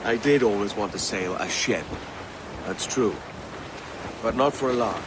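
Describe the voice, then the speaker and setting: A man playing a gentle, regretful sea captain and father with a measured, hesitant manner in a video game cutscene. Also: on the low side, animated. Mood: gently indulgent. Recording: noisy wind and waves on ship.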